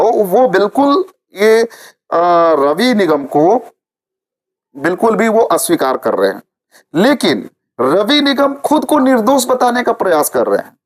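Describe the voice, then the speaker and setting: A man presenting informal news commentary to viewers, repeating phrases for emphasis. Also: high voice.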